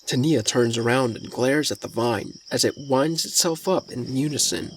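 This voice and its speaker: A man's voice: mid-range voice, normal speed, background noise. A man narrating a scripted fantasy story in the present tense as its narrator. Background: faint music.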